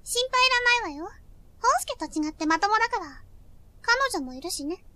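A woman playing a matter-of-fact, teasing high school girl in a romantic comedy audio drama. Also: expressive, moderate pace, sharp tone, dry recording, exaggerated voice.

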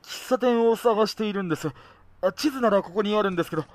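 An actor playing a polite, soft-mannered middle-aged uncle in an audio drama, speaking formally and wistfully. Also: male voice, high-pitched, fast talker, no room sound, lightly acted. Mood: courteous.

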